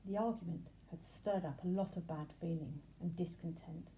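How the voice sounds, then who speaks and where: A woman reading a children's story aloud in an expressive narrating style. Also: lowish voice, moderate pace, a little hiss or hum, poor audio. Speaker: storybook reader.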